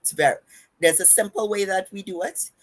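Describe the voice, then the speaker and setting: A woman's voice: medium pitch, fairly quick. A woman with a Trinidadian accent hosting a podcast, giving fitness and diet advice in an emphatic, instructive way, running her words together. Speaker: podcast host and fitness coach.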